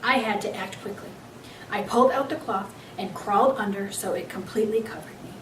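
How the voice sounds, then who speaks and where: A woman reading a children's book aloud as an expressive storyteller. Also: mid-range voice, fairly quick, distant mic. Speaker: story reader. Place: echoey room, away from the mic. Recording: roomy, off-mic, faint background noise.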